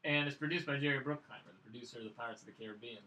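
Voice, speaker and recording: A man's voice: medium pitch, racing, pushed and bright. A man hosting a film review show in a casual, chatty style. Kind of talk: casual chat. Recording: echoey room.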